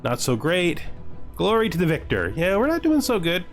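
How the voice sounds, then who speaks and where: A man's voice: high voice, huge pitch swings, normal speed, faint music behind. A man giving casual gameplay commentary, reading game text aloud and reacting with dry, offhand humour. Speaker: gaming video host.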